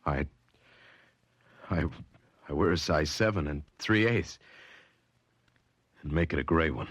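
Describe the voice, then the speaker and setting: A man's voice: very deep voice, slow pace. An actor playing a nervous, joking painter in 1940s radio drama acting style. He keeps asking anxious questions and making wry quips. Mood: grumbling. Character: jittery, quipping male painter, adult. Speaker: painter, the detective's client.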